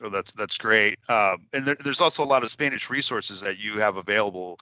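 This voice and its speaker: A man's voice: fairly low pitch, fairly quick, sharp tone, dry recording. A man who runs a cleaning business, hosting a casual interview in a loose, chatty way with many fillers.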